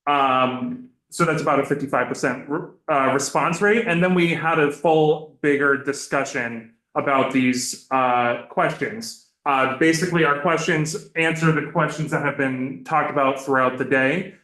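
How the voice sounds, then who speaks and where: A man speaking as a victim advocate before a legislative committee, loud and hesitant with many fillers. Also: on the high side, unhurried, hardly any pauses. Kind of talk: committee testimony. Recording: echoey hearing room.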